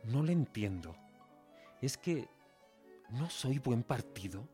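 An actor playing an earnest, proud young suitor in a radio drama, speaking formally. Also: male voice, mid-range voice, big pitch swings, slow, mellow, soft background music. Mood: pleading. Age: young adult.

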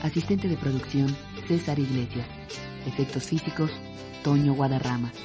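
Radio announcer reading off credits, formal and clipped, medium pitch, music under it. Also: moderate pace.

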